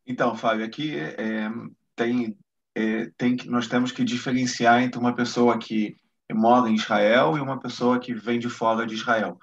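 A man's voice: medium pitch, steady tone, normal speed. A man from Brazil, a guest in an interview, explaining things hesitantly with many restarts and fillers. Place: lives in Israel. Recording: muffled lo-fi stream audio.

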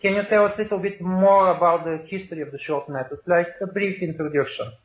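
A man's voice: high voice, steady tone, quick pace. A man with a Bulgarian accent hosting a podcast interview, polite and hesitant, with many fillers. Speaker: podcast host. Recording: roomy, dull audio with faint music.

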